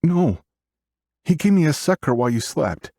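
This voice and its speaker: A man voicing a frightened, trusting seven-year-old girl with a tiny, high voice in an audiobook. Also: sing-song, normal speed, creaky, dark tone, no room sound, clean studio sound, exaggerated voice. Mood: scared, innocent.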